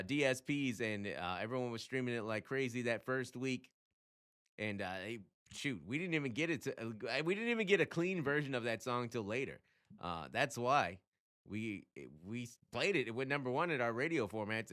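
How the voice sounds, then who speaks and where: The bright, punchy voice of a man you'd hear on a music-industry video channel, a radio insider casually breaking things down in animated slang. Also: medium pitch, smooth. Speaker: radio programmer and music commentator.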